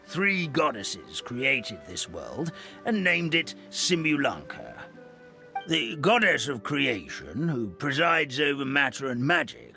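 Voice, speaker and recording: A doddering old paper hamster elder in a video game, fussy and prone to forgetting things. His bright voice swings widely in pitch as he chuckles and sighs through his tale. Faint music plays underneath. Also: full character voice. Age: older. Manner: doddering, chuckling, sighing storyteller.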